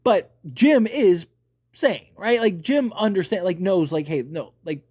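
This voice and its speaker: Male commentator, slightly high voice, casual and emphatic, circling back to stress points, closely recorded with a dry sound. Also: expressive, measured pace, a little mellow, no echo. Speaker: video creator reviewing a TV series.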